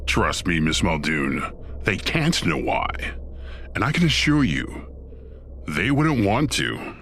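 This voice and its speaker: A man's voice: deep voice, very animated, slow, a bit bright, a little hiss or hum, heavily acted. An actor playing Satan, a smug, sardonic and theatrical ruler of Hell who toys with his listeners in an audio drama. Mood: quietly menacing. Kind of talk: scripted audio drama acting.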